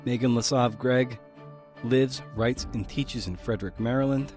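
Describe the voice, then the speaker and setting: A man's voice: medium pitch, moderate pace, darkish tone, faint music behind. A man hosting a podcast, introducing essayists and their work with informal, playful asides. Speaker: podcast host.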